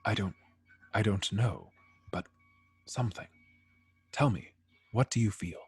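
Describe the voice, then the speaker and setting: A man playing a gentle, troubled android in love, hesitant and earnest, in an amateur film table read. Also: on the low side, a little slow, slightly rough, no room sound, faint music behind, full character voice. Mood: pained, uncertain. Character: gentle, lovestruck, troubled male android hero.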